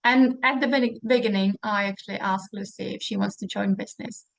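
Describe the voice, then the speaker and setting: A woman's voice: medium pitch, steady tone, brisk, gravelly, no echo, rough recording. This is a woman with a Czech accent, hosting an informal interview. Her talk is loose and chatty, full of small fillers and restarts. The sound is dry and close, with no room around it. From Czech Republic. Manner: chatty with frequent fillers and restarts, laughing now and then. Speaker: host. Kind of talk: casual interview chat.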